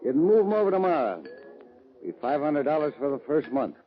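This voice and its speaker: An actor playing a domineering, greedy cattle baron in a radio western, with a folksy, threatening American Western drawl. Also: male voice, on the high side, big pitch swings, a little fast, faint music behind. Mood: smug. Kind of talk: old-time radio western acting. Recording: dull vintage radio recording.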